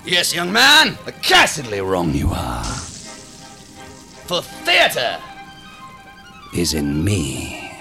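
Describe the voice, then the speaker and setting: Wildly theatrical, deranged captain. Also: male voice, wildly swooping pitch, very slow, long pauses, exaggerated voice. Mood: indignant, ranting. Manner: florid, declamatory, swinging between whisper-low and shouting. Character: flamboyant, deranged, theatrical self-styled captain, grandiose and volatile. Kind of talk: theatrical monologue in sci-fi audio drama. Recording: music behind the voice.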